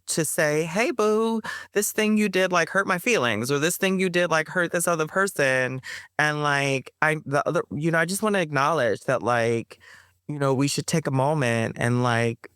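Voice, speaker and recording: A woman's voice: low voice, no room sound, clean studio sound. A woman guest on a podcast interview, speaking thoughtfully with frequent fillers and restarts, laughing now and then.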